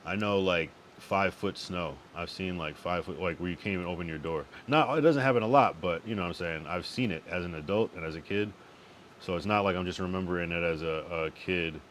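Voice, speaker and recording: A man's voice: deep voice, fairly quick, a bit bright, slight background noise. A young man from the US East Coast chatting loosely on a late-night live stream, laid-back and profane, often repeating his phrases. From Connecticut and Massachusetts, US East Coast.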